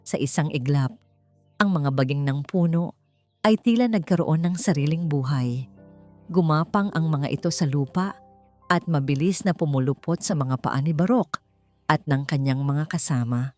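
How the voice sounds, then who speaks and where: Female Filipino audiobook narrator, lower register, measured and suspenseful delivery, soft music underneath. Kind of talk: dramatic scripted story narration.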